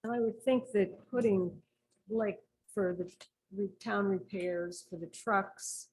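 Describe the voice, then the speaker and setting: A woman board member thinking aloud in a halting, tentative way during a town meeting. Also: medium pitch, darkish tone, poor audio. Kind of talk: deliberating in a public meeting.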